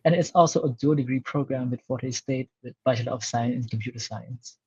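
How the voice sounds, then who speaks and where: A man in his twenties from Cambodia, a Khmer speaker, answering interview questions in a steady, articulate way. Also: mid-range voice, a little fast, slightly rough, muffled recording. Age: 20s. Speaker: guest, student.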